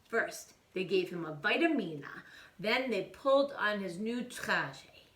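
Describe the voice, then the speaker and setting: A woman reading a children's picture book aloud in a theatrical way, with hushed asides and exclamations. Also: mid-range voice, lively intonation, a little slow, roomy sound. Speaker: children's storytime reader.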